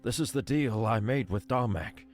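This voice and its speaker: A man voicing a weary, remorseful creature who was once a soldier, pleading gravely in a visual novel. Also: fairly low pitch, animated, moderate pace, faint music behind, natural voice.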